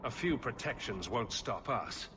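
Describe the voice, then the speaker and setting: A confident adventuring leader from a video game, acted by a man. The voice is medium in pitch, calm and resolute, over faint background noise. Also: measured pace, natural voice. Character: confident, decisive hero leading adventurers.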